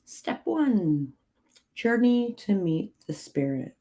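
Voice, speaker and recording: A woman hosting a livestream, reading witchcraft guidance aloud in a measured way, her voice slightly hoarse. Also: mid-range voice, big pitch swings, dark tone. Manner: slow, measured reading with clipped, dry asides to chat. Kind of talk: reading aloud with chat asides.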